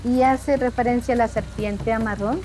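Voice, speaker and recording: A woman's voice: on the high side, fairly even intonation, dark tone, soft background music. Thoughtful woman speaking a second language. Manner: measured, thoughtful, pauses to find words. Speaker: interviewee. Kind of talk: reflective interview answers.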